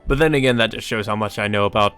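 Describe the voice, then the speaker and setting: Man, fairly low voice, Let's Play host, visual novel narration, casual asides, music behind. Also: very fast, fairly bright tone, clean tone. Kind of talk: reading a visual novel aloud with casual commentary.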